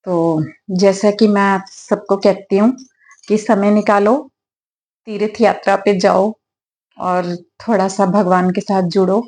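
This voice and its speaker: A woman with a slightly hoarse throat, clearing it now and then, talking gently and affectionately in a casual devotional vlog at her home altar. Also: medium pitch, steady tone, slow pace. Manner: gentle, affectionate, preachy at times. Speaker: devotional vlogger.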